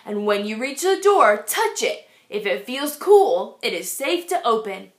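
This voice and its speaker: A woman's voice: on the high side, sing-song, a little slow. A woman voicing a patient male schoolteacher who lectures steadily and with emphasis, in a storybook read-aloud. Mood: instructive. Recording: echoey room.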